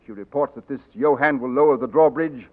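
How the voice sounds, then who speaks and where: An actor playing a gruff, loyal older colonel in a 1940s radio drama, blunt and stern. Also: male voice, mid-range voice, brisk, close to an everyday voice. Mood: briefing. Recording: tinny 1940s radio broadcast.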